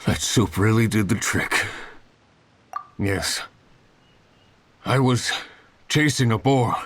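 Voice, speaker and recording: A slow-spoken, earnest village hunter. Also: male voice, lowish voice, slightly rough. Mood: weary, grateful. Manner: halting, formal. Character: earnest, weary male village hunter, polite and grave. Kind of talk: video game character acting.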